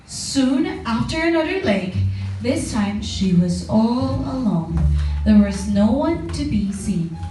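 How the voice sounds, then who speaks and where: A woman's voice: on the high side, lively intonation, slow pace, mellow. A woman narrating a scripted children's story for a puppet show. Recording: very echoey, distant mic, music behind.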